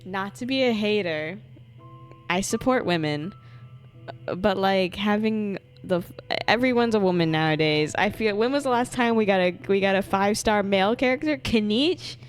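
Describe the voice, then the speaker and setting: A woman's voice: mid-range voice, animated, normal speed. A young woman giving casual, slangy, jokey commentary as she reacts to a video game. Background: game music.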